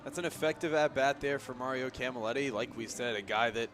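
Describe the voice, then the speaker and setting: A man's voice: mid-range voice, brisk. A man giving analytical color commentary on a baseball radio broadcast in a conversational, explanatory way. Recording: clear outdoor background noise.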